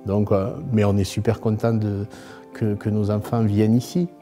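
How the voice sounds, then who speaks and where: Low, mellow-voiced man being interviewed. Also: level tone, normal speed. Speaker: local parent interviewee. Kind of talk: spontaneous interview talk. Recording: faint music behind. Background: faint instrumental music.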